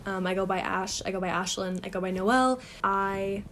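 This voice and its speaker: A woman's voice: mid-range voice, normal speed, a bit bright, a little hiss or hum. A woman in her twenties from Texas, co-hosting a podcast and telling stories in a chatty, giggly way. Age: 20s. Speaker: podcast co-host. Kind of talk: casual chat.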